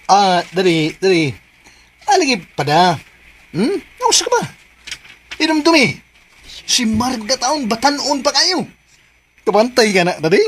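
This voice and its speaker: A high-voiced, theatrical boss with a Cebuano accent. The delivery swings widely, mocking and repetitive, over faint hiss. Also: female voice. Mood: teasingly soothing. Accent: Cebuano Filipino. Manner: theatrical, repetitive filler words. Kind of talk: Filipino radio drama acting.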